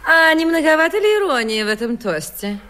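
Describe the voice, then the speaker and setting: A woman's voice: sits high, very animated, a little fast, fairly bright tone. An actress playing a coquettish, affected woman from the East who speaks in proverbs, in a radio comedy. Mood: teasing. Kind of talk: theatrical radio comedy acting.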